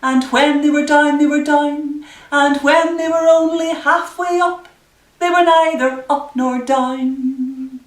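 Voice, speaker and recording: A woman with a fairly high voice leads a children's rhyme session at a very slow pace. She half sings, half talks, with simple instructions and encouragement for little ones. The sound is echoey and a bit distant from the microphone. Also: steady tone. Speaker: library story time leader. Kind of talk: children's nursery rhyme singalong.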